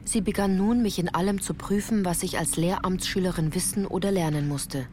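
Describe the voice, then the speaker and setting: A woman's voice: lowish voice, brisk, noisy background. A woman reading scripted literary first-person narration for a radio play, composed and carefully articulated. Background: music.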